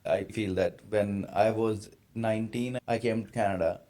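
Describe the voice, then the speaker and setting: An earnest man from India in his late twenties, hosting a podcast in a fairly low voice. He asks his questions carefully, with searching, slightly non-native phrasing. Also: fairly even intonation, normal speed, dark tone. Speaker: podcast host. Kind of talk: casual interview conversation.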